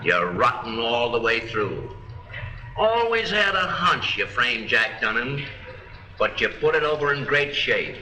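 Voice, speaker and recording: An actor playing a shrewd, blunt small-town lawman in a 1930s film, deliberate and dryly sarcastic as he probes and accuses. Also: medium pitch, sing-song, pushed and bright, exaggerated voice. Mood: accusing, stern. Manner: deliberate, probing, with dry sarcasm. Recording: roomy 1930s film soundtrack, music underneath.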